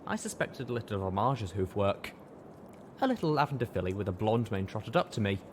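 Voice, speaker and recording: A man's voice: fairly low pitch, big pitch swings, fairly quick, slight background noise. A man reading a scripted story aloud as an audiobook narrator. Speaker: audiobook reader.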